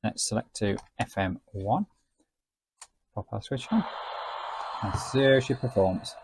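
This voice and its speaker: A man narrating a casual product review in a chatty, measured, explanatory way. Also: on the low side, darkish tone, slight background noise, low-quality recording. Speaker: radio gadget reviewer, host.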